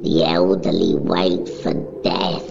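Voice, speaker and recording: Female storyteller, extremely low pitch, very slow, solemn, dramatic narration, dull recording with music. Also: level tone, creaky, a little mellow, a little hiss or hum.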